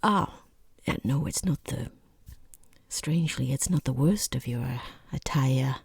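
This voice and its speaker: A woman playing a rude, bored, condescending boss in a softly spoken, mostly whispered ASMR roleplay, close to the microphone, with snide, deliberate delivery. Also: rumbling low, no room sound, heavily acted. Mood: appalled, condescending. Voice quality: breathy, husky whisper.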